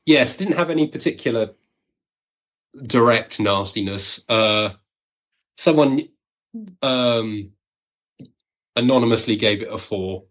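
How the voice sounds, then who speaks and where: A man's voice: mid-range voice, lively intonation, takes it slowly, pauses often, a bit bright, rough recording. A man talking casually as a guest writer in an interview, telling stories wryly and acting out people's reactions. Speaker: audio drama writer, podcast guest.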